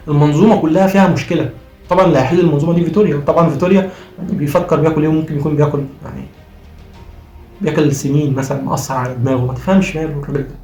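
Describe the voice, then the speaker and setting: A man's voice: a little high, darkish tone. An Egyptian man with a worn-out, strained voice gives impassioned, argumentative football commentary as a vlogger, repeating phrases for emphasis. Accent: Egyptian Arabic. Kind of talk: impassioned post-match fan commentary. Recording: roomy echo, faint music behind.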